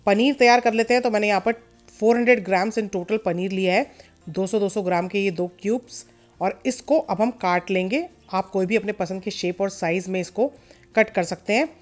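Woman, mid-pitched, calm cooking-show voice-over with light music behind. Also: a little fast. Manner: calm step-by-step instruction, mixing in English words. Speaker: cooking channel host. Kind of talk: recipe voice-over.

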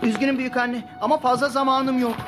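A woman's voice: high voice, flat delivery, quick pace, natural voice. A woman voicing a shy, hesitant, guilt-ridden goatherd boy in a children's cartoon. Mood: apologetic, troubled. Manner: hesitant, stammering. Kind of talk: dubbed children's cartoon acting. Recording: loud music behind the voice.

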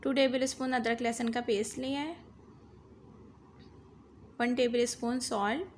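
High-voiced woman narrating a home recipe. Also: steady tone, measured pace, a little hiss or hum. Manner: instructive, repeats "dekhiye" to guide viewers. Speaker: cooking channel host. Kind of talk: step-by-step cooking voice-over.

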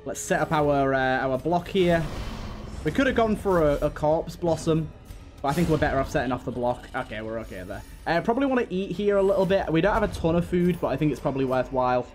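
A man's voice: on the high side, lively intonation, fast talker, darkish tone, faint music behind. A man hosting a casual let's play, talking to the game's characters and repeating his phrases as he goes. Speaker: gaming host.

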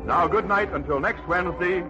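Dull old recording, high-voiced American radio man. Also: normal speed. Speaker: radio announcer. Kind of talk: 1930s radio sign-off announcing. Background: music.

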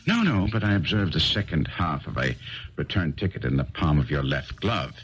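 Self-assured gentleman detective, very low voice, lively intonation, precise, 1970s radio drama. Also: normal speed, lo-fi sound, full character voice. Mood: courteous, reassuring. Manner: urbane, precise, dryly teasing. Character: brisk, courteous, self-assured male detective. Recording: faintly noisy 1970s radio broadcast.

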